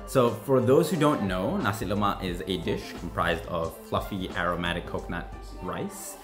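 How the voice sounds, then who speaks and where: A man's voice: deep voice, normal speed. A man explaining food in casual talk as a guest taster, with music behind him.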